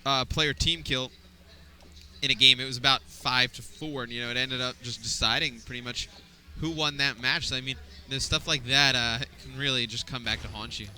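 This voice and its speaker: A man's voice: mid-range voice, steady tone, normal speed, bright, forceful tone. A young adult man giving analytical esports color commentary, thinking aloud with fillers. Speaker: esports caster.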